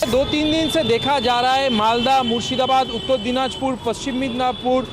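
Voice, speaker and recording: A man's voice: very high-pitched, fairly even intonation, moderate pace, music in the background. A man giving a spoken account for a news report.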